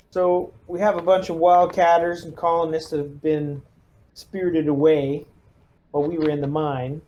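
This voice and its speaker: Slightly high voiced guy playing a tabletop rpg, thinking out loud, casual and hesitant. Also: highs cut off, slight background noise. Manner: informal, hesitant, thinking aloud. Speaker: player in a tabletop roleplaying game. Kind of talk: casual in-character table talk.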